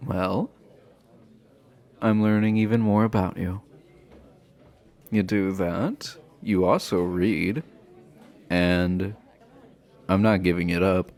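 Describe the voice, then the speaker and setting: Man acting as goofy himbo hockey player, lowish voice, very unhurried pace, playful and teasing, casual audio roleplay. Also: a little mellow. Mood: teasing, curious. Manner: playful, laughs often, halting teasing delivery. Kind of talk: intimate boyfriend-roleplay acting, casual improvised chat.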